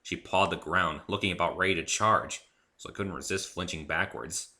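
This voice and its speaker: A man reading his own story aloud in the first person, in an expressive, theatrical narrating style. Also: sits low, normal speed.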